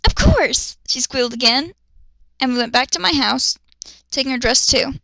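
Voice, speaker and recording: High, bright-voiced woman ranting through a reading. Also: normal speed, dry recording, rough recording. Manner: exasperated, sarcastic, foul-mouthed. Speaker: host of dramatic fan fiction readings. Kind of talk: dramatic reading with ranting asides.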